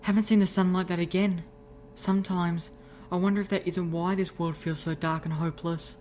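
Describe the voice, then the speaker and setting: A woman's voice: fairly low pitch, steady tone, fairly quick, darkish tone, a little hiss or hum, close to an everyday voice. Woman as a wistful pony over background ambience. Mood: sad, reflective. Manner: wistful, reflective.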